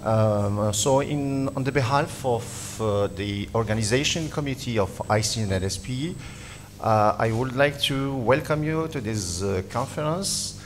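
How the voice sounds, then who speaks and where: A man's voice: mid-range voice, measured pace, a little hiss or hum. A man from the organizing committee giving short, hesitant welcome remarks full of fillers before handing the floor to the next speaker. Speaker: organizing committee member opening the conference. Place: conference hall.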